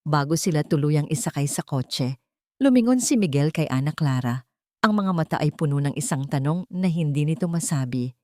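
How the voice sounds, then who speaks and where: A woman with a low voice narrates a dramatic audiobook. Her phrasing is solemn and deliberate, and the sound is dry, with no room echo. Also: darkish tone. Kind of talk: scripted dramatic story narration.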